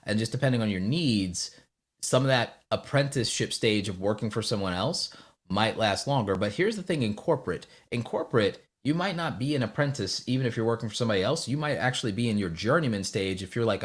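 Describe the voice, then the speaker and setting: A man's voice: on the low side, lively intonation, fairly quick. A man in his thirties hosting a live stream in casual chat, earnestly mentoring his guest and repeating points for emphasis. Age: 30s. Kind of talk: casual chat, giving advice. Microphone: video call.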